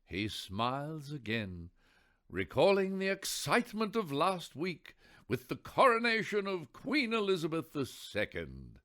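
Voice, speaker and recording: A man narrating a radio play in a wry, theatrical storyteller's manner with deliberate emphasis. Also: a little high, wildly swooping pitch, sharp tone.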